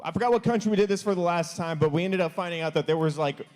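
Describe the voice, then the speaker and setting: A man's voice: high-pitched, rapid-fire, a little mellow. A young man hosting a youth service live stream, chatting casually and playfully. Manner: playful, stumbling over foreign words. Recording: long church hall reverb.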